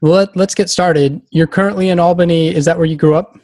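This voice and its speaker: A man's voice: high voice, steady tone, a little fast, darkish tone, no room sound. A man hosting a podcast in a casual interview style, with brief questions and short affirming replies.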